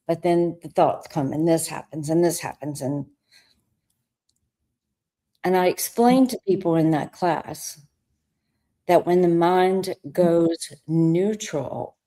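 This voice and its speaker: A woman's voice: sits low, warm tone. A woman hosting a podcast, talking in a reflective way about stillness and guiding the listener through ideas. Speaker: podcast host. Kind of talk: conversational spiritual talk.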